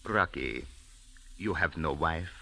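A deep, gravelly desert sheikh speaks in slow, formal, courtly phrases, his pitch rising and falling widely. It is an old radio drama recording with music playing underneath. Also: lively intonation, pushed and bright, heavily acted. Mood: courteous. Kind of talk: 1940s radio acting.

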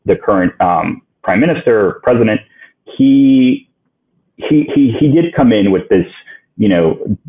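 Man from Ukraine, native Russian speaker, very low voice, tinny phone-line sound, halting restarts. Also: animated. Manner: halting, thinks aloud with frequent restarts. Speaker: guest on a finance podcast. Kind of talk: casual interview answers.

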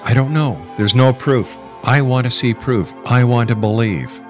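A man's voice: mid-range voice, a little slow, faint music behind. A middle-aged man hosting a radio talk show, speaking insistently and emphatically and repeating phrases for effect. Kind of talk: radio interview with argumentative commentary.

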